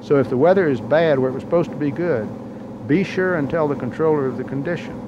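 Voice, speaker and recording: A man's voice: fairly high pitch, quick pace. A man reading scripted instructional narration for pilots in a plain-spoken, explanatory manner. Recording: muffled, noisy old training tape.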